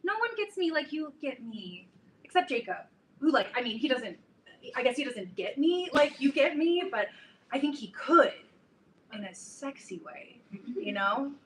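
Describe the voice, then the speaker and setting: A woman's voice: sits high, big pitch swings, normal speed. A woman playing a boy-crazy, melodramatic teenage girl who rambles and stumbles, in a staged reading of a comedy. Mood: placating. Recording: echoey room, distant mic, background noise.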